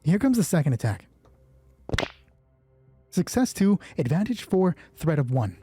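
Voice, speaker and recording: A man's voice: on the high side, sing-song, a little slow, over music. A man narrating a solo tabletop roleplay as game master, informal and moving between dramatic storytelling and thinking aloud.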